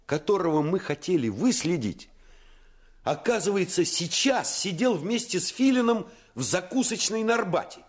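An actor playing an earnest first-person narrator of a boyhood adventure in a radio play, expressive and suspenseful. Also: male voice, on the high side. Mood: animated. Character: grown male narrator recalling his boyhood adventure, earnest.

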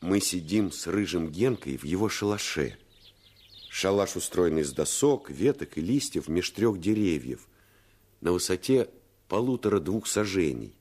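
A man narrating a boyhood adventure in the first person for a radio play, in a measured, literary storytelling manner. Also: low-pitched, animated, a little mellow, husky, exaggerated voice. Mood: calm, descriptive. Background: music.

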